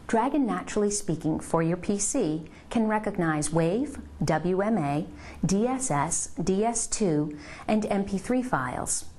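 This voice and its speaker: A woman reading scripted instructional narration in a measured, step-by-step way, as a presenter of a product tutorial. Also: mid-range voice, expressive, warm tone.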